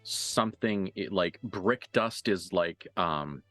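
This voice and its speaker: Low-voiced man running a tabletop game. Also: slow, fairly bright tone, no room sound, faint music behind. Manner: casual, mildly profane. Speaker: game master. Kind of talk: improvised narration of a tabletop roleplaying game.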